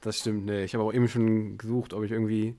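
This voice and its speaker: A man's voice: little pitch movement, fast, mellow. A man hosting a podcast, unwell with a headache, rambling casually with many fillers. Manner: rambling, many fillers, tired and low-key. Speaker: podcast host. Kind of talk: casual chat. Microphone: remote call via bot.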